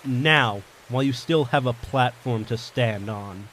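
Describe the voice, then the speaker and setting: A man's voice: mid-range voice, measured pace, noisy background, natural voice. A man playing a ruthless armed bank robber in an audio drama, speaking in a domineering, commanding, menacing way. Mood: barking orders.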